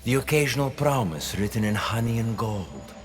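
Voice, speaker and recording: Video game villain: suave plotting mastermind, low register, widely swooping delivery, silky and deliberate. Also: male voice, sing-song, slight background noise. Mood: smug, persuasive. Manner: theatrical, deliberate, silky persuasion. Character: suave, scheming older male conspirator mastermind, patient and menacing. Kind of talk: video game cutscene acting.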